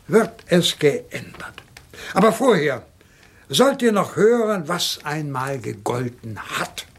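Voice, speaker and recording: A wealthy, bitter old tyrant in a radio crime drama. The voice is fairly high and husky, with a heavy gravelly creak. He speaks in a theatrical, commanding way. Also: fairly bright tone, exaggerated voice. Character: bitter, imperious rich old man disinheriting his household.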